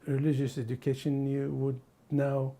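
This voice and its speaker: A man from the Middle East or North Africa talking casually and reflectively, haltingly searching for words. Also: medium pitch, completely flat delivery, dark tone. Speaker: documentary interviewee.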